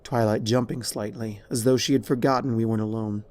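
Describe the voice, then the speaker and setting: Low-pitched man, somber story narrator. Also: steady tone, brisk, darkish tone. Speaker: fan fiction narrator. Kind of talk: dramatic scripted story narration.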